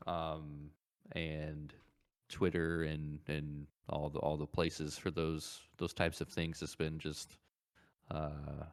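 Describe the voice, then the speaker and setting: Male podcast co-host with a low voice, casual gaming talk, hesitant and repetitive as he thinks aloud. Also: little pitch movement, slow, clean tone. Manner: hesitant, repeats words while thinking aloud.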